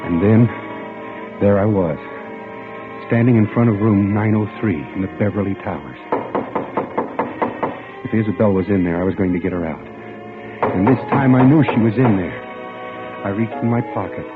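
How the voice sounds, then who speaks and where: Nervous male lead, played by an actor, American, earnest and highly animated, thin vintage radio broadcast sound. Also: moderate pace, pauses often, phone-quality sound, loud music behind the voice, natural voice. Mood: tense, determined. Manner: nervous, earnest, dramatic delivery. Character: earnest, jittery, lovestruck ordinary man narrating his misadventure. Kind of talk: mid-century American radio drama narration and acting.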